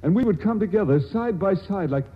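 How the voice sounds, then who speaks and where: A man's voice: high voice, lively intonation, fairly quick. An actor playing an earnest, shy male chemist in love, talking hesitantly and stammering in 1940s radio drama style. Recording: muffled old radio broadcast with faint hiss.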